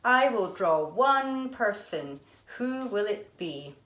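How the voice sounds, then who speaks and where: A woman's voice: mid-range voice, big pitch swings, slow pace, fairly bright tone. A woman voicing a boisterous, kindly old male artist from a storybook, speaking emphatically. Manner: emphatic, commanding yet kindly. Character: Picasso, boisterous laughing elderly man, playful artist. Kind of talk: storybook character voice. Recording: echoey room.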